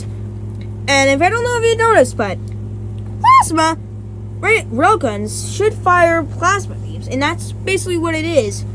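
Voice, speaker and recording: A woman's voice: on the high side, a little hiss or hum, low-quality recording. A woman giving casual versus-battle commentary, rambling with many restarts and self-corrections and some casual swearing. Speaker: YouTuber.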